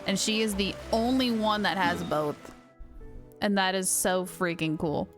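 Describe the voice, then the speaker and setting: A woman streams a game and chats casually with her audience. She has a medium-pitched voice and an excitable, gushing delivery. Faint game music runs behind her. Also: a little slow. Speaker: game livestreamer. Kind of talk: casual live stream commentary and chat.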